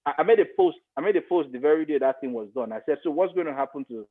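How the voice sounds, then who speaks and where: A man's voice: on the high side, expressive, rapid-fire. A man with a Nigerian accent giving his opinions in a podcast discussion, emphatic and repeating phrases to make his point. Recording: thin, phone-like line.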